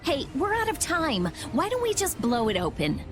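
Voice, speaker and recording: A curt, sharp-tongued teenage prodigy from a video game, speaking in a high voice that swings widely. Her delivery is snappish, with soft game music underneath. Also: huge pitch swings, normal speed. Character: sharp-tongued teenage girl genius, prickly.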